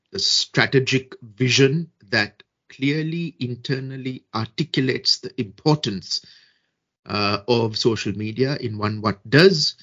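Podcast guest, man from Sri Lanka, measured and deliberate, slightly raspy tone, somewhat lo-fi sound. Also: on the low side, a bit bright. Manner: measured, deliberate, with frequent fillers and restarts. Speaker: guest expert on social media and peace building. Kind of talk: podcast interview answers.

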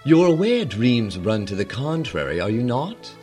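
An actor playing a suave, condescending gentleman villain in a theatrical fairy-tale radio drama, with a courtly, archaic delivery. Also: medium pitch, very animated, normal speed, music in the background, natural voice. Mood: courtly, fond.

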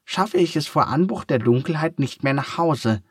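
A shy, clumsy little elephant boy speaks in a slightly raised, wavering voice, as a man puts him on. He hesitates and stammers, sounding gentle and a bit sorry for himself. The voice is husky and close, with no room around it. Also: a little high, animated, normal speed, dry recording, pitched above the performer's own voice. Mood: pleading. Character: timid clumsy young male elephant, gentle and sad.

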